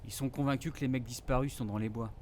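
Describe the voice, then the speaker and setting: An actor playing a teasing, affectionate forest ranger in a naturalistic audio drama, with casual, slangy delivery. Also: male voice, medium pitch, steady tone, fast talker, a little mellow, slight background noise, close to an everyday voice. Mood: subdued, serious.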